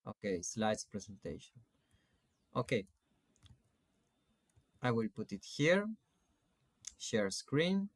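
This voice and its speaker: A man in his forties from Argentina with an Argentine Spanish accent gives an informal online lecture as a coach, phrasing things in a halting, deliberate way. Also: fairly low pitch, very animated, no room sound. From Salta, Argentina. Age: 40s. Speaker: spiritual coach teaching an online course.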